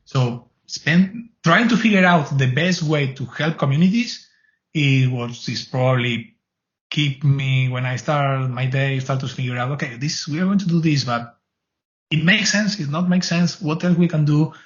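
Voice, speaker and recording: A man's voice: fairly high pitch, moderate pace, lo-fi sound. A middle-aged man from Argentina with an Argentine Spanish accent, speaking English as a second language. He answers interview questions earnestly, with many restarts. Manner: earnest, rambling, frequent restarts. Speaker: guest, cloud advocate.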